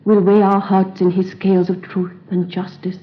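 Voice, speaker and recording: A woman's voice: mid-range voice, flat delivery, normal speed, close to an everyday voice. A woman playing a stately Egyptian queen. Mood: tender. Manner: stately, theatrical. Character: regal, loving Egyptian queen. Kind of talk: 1930s radio drama. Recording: dull old radio recording.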